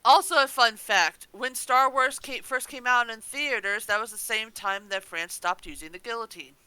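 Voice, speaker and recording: A woman's voice: a little high, normal speed, sharp tone, clear, resonant tone, dry recording, poor audio. A woman co-hosting a podcast, chatting casually and chipping in with comments and banter. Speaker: podcast co-host.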